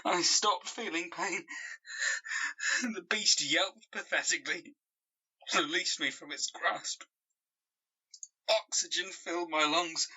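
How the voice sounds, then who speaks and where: A traumatised man in an audio drama, reliving something terrible. His voice is raspy and very tense, with a hard edge. He speaks slowly and haltingly, weighing each phrase as he goes. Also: sits high, steady tone, bright, forceful tone, heavily acted, low-quality recording. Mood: anguished, shaken. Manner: slow, halting, haunted delivery with repeated words. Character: traumatised man reliving a savage dog attack.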